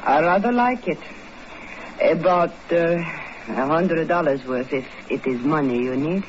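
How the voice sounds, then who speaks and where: Muffled old radio show, a cool shrewd schemer, slow coy gracious delivery. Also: female voice, low voice, lively intonation, a little hiss or hum. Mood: coolly gracious. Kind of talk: 1950s radio acting.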